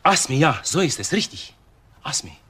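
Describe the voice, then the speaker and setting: Halting, evasive nervous waiter. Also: male voice, fairly high pitch, big pitch swings, pushed and bright, breathy edge, heavily acted. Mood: nervous, explaining. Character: evasive, nervous male waiter questioned by police. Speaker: actor in a crime drama.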